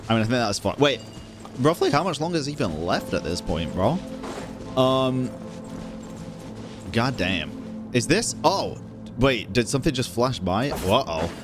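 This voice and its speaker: A man's voice: mid-range voice, big pitch swings, measured pace, long pauses. A young man casually reacting to a game, joking, swearing and using slang. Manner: loud, profane, joking, slangy. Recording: game audio and music in background.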